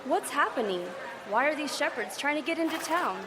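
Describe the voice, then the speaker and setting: An actress playing a persistent field news reporter in a live audio drama, speaking in a brisk broadcast style. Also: female voice, high-pitched, lively intonation, background noise.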